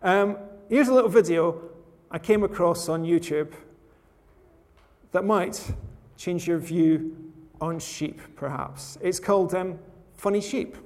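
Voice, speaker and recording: A man from rural Scotland preaching a sermon in a relaxed, conversational way with touches of dry humor. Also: sits high, slow pace. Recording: long hall reverb.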